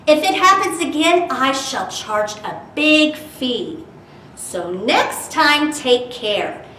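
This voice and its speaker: Woman as a bright-voiced, stern storybook shopkeeper man. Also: high voice, sing-song, full character voice. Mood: stern warning. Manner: emphatic, drawn-out, admonishing. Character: weary, knowing goldfish seller, grown man, sternly warning. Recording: roomy, distant mic with background noise.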